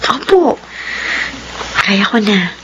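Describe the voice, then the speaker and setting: An actress playing a gentle young student daughter in a family drama, respectful and casual. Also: female voice, medium pitch, big pitch swings, very slow, highs cut off, a little hiss or hum, heavily acted. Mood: soft, reassuring. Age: young adult. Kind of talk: Tagalog drama acting.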